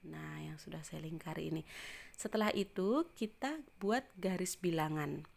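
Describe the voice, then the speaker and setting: A woman's voice: deep voice, animated, moderate pace, gravelly, a little mellow. A woman math teacher explaining step by step in an informal tutorial style.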